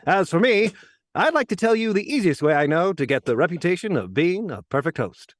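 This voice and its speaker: A man's voice: high voice, sing-song, brisk, no room sound, clean studio sound. A man playing an earnest 1940s radio announcer with polished, emphatic salesmanship. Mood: salesmanlike. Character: smooth-selling, earnest 1940s radio announcer pitching wine. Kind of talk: 1940s radio acting, sponsor read.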